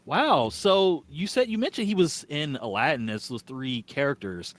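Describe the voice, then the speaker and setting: A man's voice: fairly high pitch, animated, normal speed. Casual male podcast co-host.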